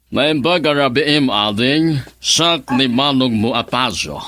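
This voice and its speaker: An elderly widower in a radio drama, with an Ilocano accent. His pitch is medium. He is polite and earnest as an old suitor. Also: expressive, slow, pushed and bright, no room sound. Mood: courteous.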